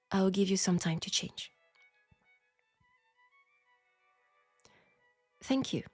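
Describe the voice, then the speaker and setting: A woman playing a candid, proud French seamstress in a steampunk audio drama, speaking with a French accent in a formal, deliberate way. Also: medium pitch, a little mellow, no echo. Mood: polite, businesslike. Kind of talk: intimate scripted audio roleplay.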